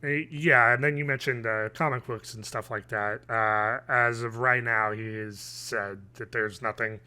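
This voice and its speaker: Bright-voiced man in casual podcast chat. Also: medium pitch, steady tone, a little slow, clean tone. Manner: informal, conversational. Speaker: podcast co-host. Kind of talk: casual chat, reviewing TV shows. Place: home room, on a video call.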